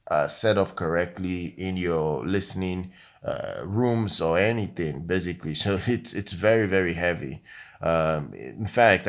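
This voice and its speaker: A man giving a casual, unscripted product review, talking in hyperbole and repeating himself with many filler phrases. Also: deep voice. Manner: repetitive, hyperbolic, frequent filler phrases like "literally" and "you know". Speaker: tech review channel host.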